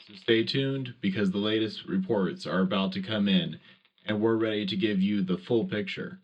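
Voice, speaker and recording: Man as low-voiced wartime radio host. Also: moderate pace, dull audio, natural voice. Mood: earnest. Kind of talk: scripted radio news announcing.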